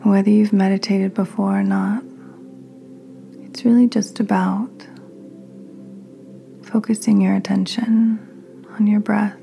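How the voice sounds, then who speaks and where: A woman podcast host leading a guided meditation in a gentle, soothing, breathy near-whisper. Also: medium pitch, little pitch movement, slow, pauses often. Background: soft music.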